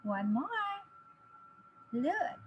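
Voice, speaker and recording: A woman in her thirties giving a phonics lesson to young children, encouraging them with drawn-out, exaggerated enunciation. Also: a little high, very animated, muffled recording. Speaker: reading teacher. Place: small indoor home teaching space. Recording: clearly noisy home webcam recording.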